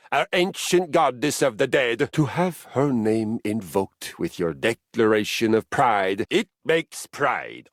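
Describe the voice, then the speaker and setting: An actor playing a passionate, sentimental, violence-loving Viking warrior in a video game, with grandiose archaic phrasing and dramatic pauses. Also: male voice, on the low side, no room sound, studio quality, heavily acted. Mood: moved, proud. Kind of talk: theatrical video game character acting.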